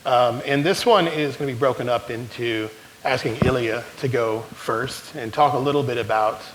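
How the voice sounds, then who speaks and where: A man moderating a conference panel who speaks in an upbeat, halting way with many fillers. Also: mid-range voice, noisy background. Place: conference stage.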